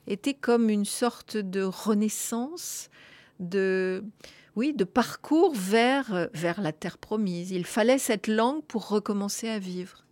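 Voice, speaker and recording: A woman hosts a radio discussion and explains ideas at length, pausing with brief hesitations. Her voice sits at a middle pitch. The sound is dry and close to the mic. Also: darkish tone, no room sound. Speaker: radio host.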